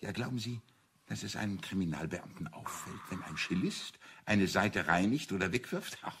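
Deep gravelly sleuthing priest. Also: male voice, lively intonation, a bit bright, slight background noise. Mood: assured, triumphant. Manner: deliberate, self-assured, didactic explaining. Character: shrewd priest and father confessor playing amateur detective. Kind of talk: German radio crime drama acting.

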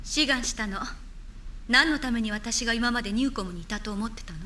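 An actress playing an idealistic, defiant young woman scientist in an animated game drama, earnest and intense. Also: a little high, fairly quick, slight background noise. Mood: cold defiance. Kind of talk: dramatic Japanese anime game acting.

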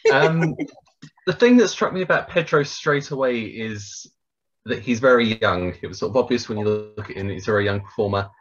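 A man's voice: mid-range voice, expressive, brisk. A man in his thirties giving a wry, careful review as a panelist on a video call. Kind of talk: casual review chat. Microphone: webcam on video call. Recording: dull lo-fi webcam audio.

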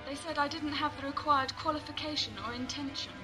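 An actress playing an inquisitive, skeptical space traveller in a science fiction audio drama, briskly questioning. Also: female voice, high-pitched, fairly even intonation, music in the background, close to an everyday voice.

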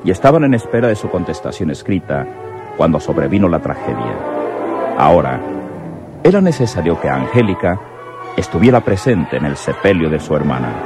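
A man's voice: deep voice, big pitch swings, brisk. A man narrating a radio serial in a dramatic, solemn storytelling style. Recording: music under the voice.

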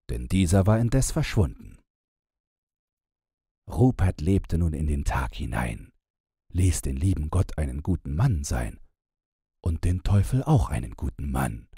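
A male audiobook narrator reads a bedtime fairy tale with wry, measured delivery. His low voice is very husky and mellow, recorded close and dry. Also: dry recording.

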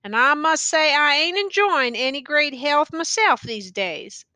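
A woman's voice: high voice, expressive, measured pace, sharp tone, no echo, voice pushed up, exaggerated voice. A woman voicing a weary, ragged male soldier who talks in a rural dialect and jokes through his pain. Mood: musing. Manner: rural dialect, drawling and musing.

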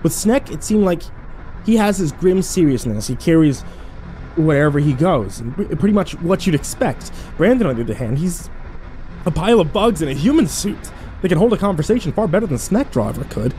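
A man playing an overwhelmed, foul-mouthed young man who narrates his ordeal haltingly in a horror audio drama. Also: on the high side, big pitch swings, warm tone, slight background noise. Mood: puzzled. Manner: halting, self-interrupting, swearing, incredulous.

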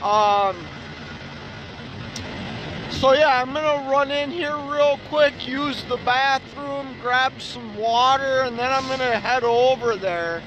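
A man, a truck driver vlogging casually in a relaxed, rambling way while feeling tired and unwell. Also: very high-pitched, slow. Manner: relaxed and rambling, many ums. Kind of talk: casual vlog narration. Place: truck cab and outdoor truck lots. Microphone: phone or dash camera. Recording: noisy outdoor truck yard audio. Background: engine and traffic noise.

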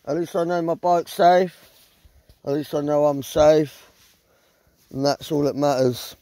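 Slow-talking, sweary southern English man. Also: a little high, steady tone, mellow, no echo. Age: middle-aged. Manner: sweary, blunt. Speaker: vlogger. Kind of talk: casual handheld camping vlog, rambling to viewers. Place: inside a small tent in the woods at night. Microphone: handheld phone at close range.

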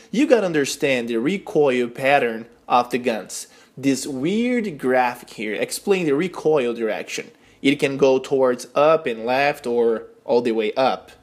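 A young man with a Brazilian Portuguese accent talks through a game tutorial in English, his second language. His phrasing is earnest and plain, with small grammar slips, and his pitch sits in an ordinary middle range. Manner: earnest, simple instructional phrasing with non-native grammar slips. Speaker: gaming channel host.

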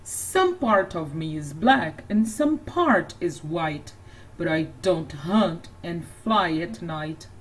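A patient, kindly father posing rhyming riddles in a children's storybook, read by a woman who barely changes her voice. He speaks calmly and coaxingly, in a slow, even manner. The mic sits a little away. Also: lowish voice, husky, recorded from a distance, pitched below the performer's own voice, full character voice, rough recording. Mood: playful.

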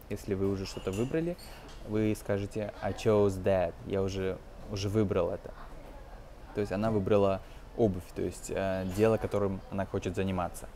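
A man in his twenties giving an informal language lesson as a teacher, explaining phrases in a halting, hesitant way. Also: sits low, steady tone, dark tone, a little hiss or hum. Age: 20s. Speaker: English teacher.

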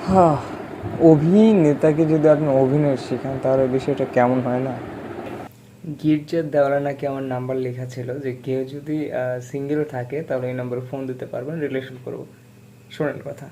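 A man's voice: medium pitch, steady tone, normal speed, mellow. A young man, a content creator talking casually on a phone call and to viewers, politely admonishing. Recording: clearly noisy background hum.

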